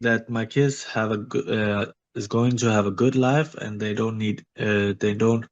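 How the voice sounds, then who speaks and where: A sick man answering interview questions in short, simple, halting sentences. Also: on the low side, fairly even intonation, lo-fi sound. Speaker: guest.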